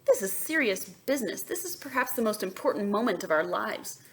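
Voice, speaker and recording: A woman's voice: medium pitch, lively intonation, a little fast, slight background noise, lightly acted. A woman voicing an earnest, slightly pompous goose from a storybook, speaking firmly and formally. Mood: serious.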